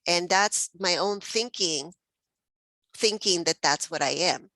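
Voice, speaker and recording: A woman's voice: on the low side, takes it slowly, a bit bright, no room sound. A woman from Mexico hosting a spiritual text study group, thinking aloud with many fillers and easy laughs. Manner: reflective, many fillers and restarts, laughs often.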